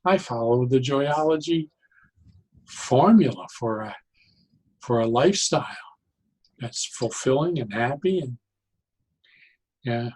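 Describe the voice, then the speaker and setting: Husky-voiced man explaining deliberately. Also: mid-range voice, expressive, darkish tone, low-quality recording. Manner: deliberate, halting delivery with repeated key phrases. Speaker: interview guest, psychologist and joyologist. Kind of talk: conversational explaining.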